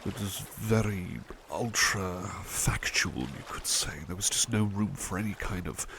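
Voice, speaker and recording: A man playing a devoted, tender butler in an ASMR roleplay, murmuring softly and a little hesitantly to the listener. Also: low-pitched, measured pace, creaky, background noise. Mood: wistful, reflective. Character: devoted, tender male butler who loves painting.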